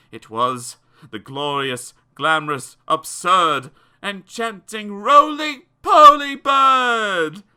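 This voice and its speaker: A man reading children's verse aloud as an audiobook narrator, theatrical and expressive. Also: sits high. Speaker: audiobook reader.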